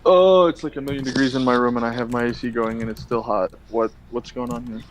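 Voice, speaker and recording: A young man in his twenties leads a loose, joking chat with his tabletop group. His voice sits at an ordinary middle pitch, and he trades quick banter with his friends. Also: fairly even intonation, a little hiss or hum. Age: 20s. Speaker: dungeon master. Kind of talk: casual chat before a tabletop game stream.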